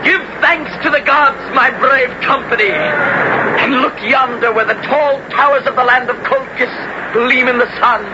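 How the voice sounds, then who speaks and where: An actor playing a bold young Greek hero and sea captain, declaiming and commanding in radio drama style. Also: male voice, very high voice, measured pace. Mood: grateful, exalted. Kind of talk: 1970s radio drama acting. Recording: noisy, dull old radio recording.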